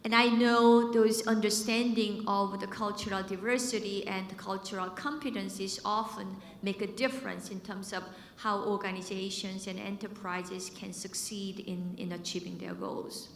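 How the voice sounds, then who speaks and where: A woman's voice: mid-range voice, level tone, normal speed, gravelly, hardly any pauses, slight background noise. A Korean-born woman in her fifties, a business school dean, giving a conversational conference talk with a Korean accent mixed with American and British sounds, with fillers and repeated words. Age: 50s. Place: conference hall. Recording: echoey hall with long reverb.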